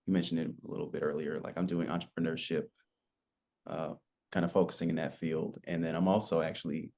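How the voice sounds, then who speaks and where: A man in his twenties telling his story as a podcast guest, relaxed and rambling, with lots of fillers. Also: very deep voice, a little fast, creaky. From United States. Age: 20s. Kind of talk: casual interview chat.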